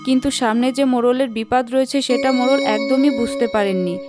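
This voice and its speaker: Female storyteller, slightly high voice, scripted cartoon narration, music behind. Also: fairly even intonation, a little fast.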